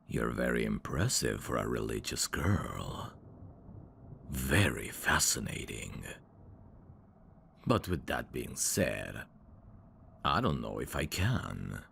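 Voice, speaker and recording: Guy doing a super deep slow-talking demon, smooth flirty asmr roleplay, pitch swoops around, some background noise. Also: a bit bright, heavily acted. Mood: thoughtful, explanatory. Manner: smooth-talking, flirtatious, formal phrasing with hesitations. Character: suave, teasing adult male demon, courteous and curious. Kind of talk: intimate ASMR roleplay acting addressed to the listener.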